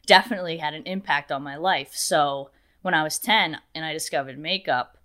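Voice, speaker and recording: A woman in her twenties with a deep voice, a makeup artist chatting as an interview guest, talkative and quick to laugh. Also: sharp tone. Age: 20s. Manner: chatty, laughs often, storytelling. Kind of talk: casual interview chat.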